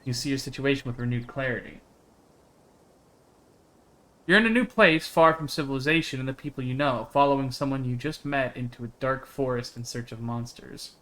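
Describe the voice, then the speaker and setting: A man's voice: medium pitch, fairly quick, a bit bright. A man reading a visual novel aloud on a live stream, dropping into casual, sweary asides. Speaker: game streamer. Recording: music behind the voice.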